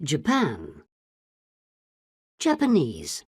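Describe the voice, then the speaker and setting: A woman with a low, husky voice reads vocabulary words one at a time, very slowly. Each word is drawn out carefully, and her pitch sweeps widely. The sound is dry and close. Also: wildly swooping pitch, no room sound. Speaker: vocabulary reader for a language lesson. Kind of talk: reading word lists slowly.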